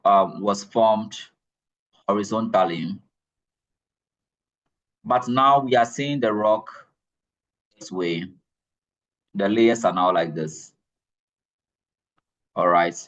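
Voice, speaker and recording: A man's voice: fairly low pitch, rough recording. A man giving a geology lecture to students, repeating key points and checking in with "all right?". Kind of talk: classroom lecture.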